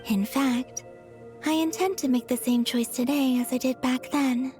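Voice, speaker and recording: A wistful moon goddess for a game cutscene. Her voice sits fairly high, soft and breathy, with measured, sincere phrasing. She sounds grateful and devoted, with music playing softly behind her. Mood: serene, resolute.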